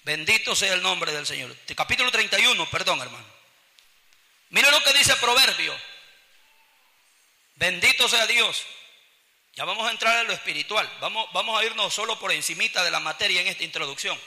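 High-pitched Salvadoran preacher man, booming hall. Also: animated, normal speed, pauses often, bright, forceful tone. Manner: exhortative, colloquial, rhetorical questions. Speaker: evangelical preacher. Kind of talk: impassioned church sermon with scripture teaching. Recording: long hall reverb.

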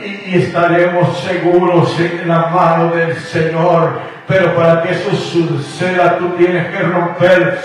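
A man's voice: a little high, level tone, takes it slowly. A man from Guatemala, preaching a radio sermon in a fervent, sing-song cadence. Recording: echoey, distant radio broadcast with faint music.

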